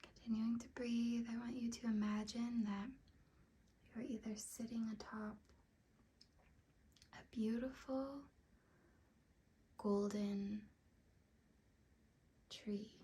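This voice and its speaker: Female meditation guide, ASMR style: hushed, very breathy delivery, even and gentle, unhurried. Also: a little high. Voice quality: breathy, whispery. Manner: soothing, gentle, unhurried with long pauses.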